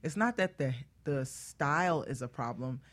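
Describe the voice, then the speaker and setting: A woman's voice: extremely low pitch, lively intonation, normal speed. Woman hair stylist on a talk show, chatty but emphatic, explains stuff like an expert. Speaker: guest hairstylist.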